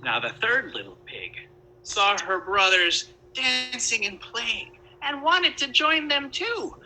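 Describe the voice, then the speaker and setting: A woman narrates a storybook tale at a slow pace. Her voice is very bright and expressive, rising and falling freely, with wry little asides. There is faint noise on the recording. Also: fairly high pitch, low-quality recording.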